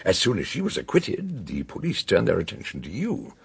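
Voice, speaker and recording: An actor playing an eccentric, blunt, aging detective in a radio drama, with a gravelly, husky British voice and theatrical, probing manner. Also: male voice, lowish voice, wildly swooping pitch, heavily acted. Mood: grave, insistent. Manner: theatrical exclamations, deliberate questioning.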